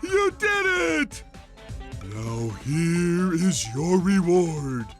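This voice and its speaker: A man's voice: sits high, sing-song, drawn-out words, soft background music, full character voice. A man voicing a dim-witted, self-important male starfish in a cartoon game, dopey and pompous.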